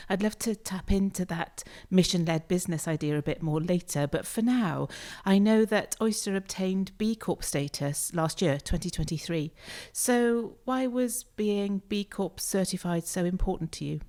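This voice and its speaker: A woman's voice: on the low side, moderate pace, hardly any pauses, darkish tone. A woman co-hosting a business podcast, asking the guest questions in an encouraging way. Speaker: podcast co-host. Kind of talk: interview hosting.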